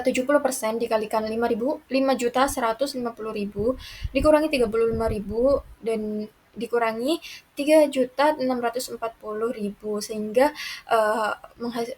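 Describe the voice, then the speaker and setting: A young woman giving a student lesson presentation in a halting way, with broken-off words and restarts. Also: on the high side, fairly even intonation, a little mellow, husky. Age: young adult. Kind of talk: lesson presentation, working through accounting problems. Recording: noticeable background noise.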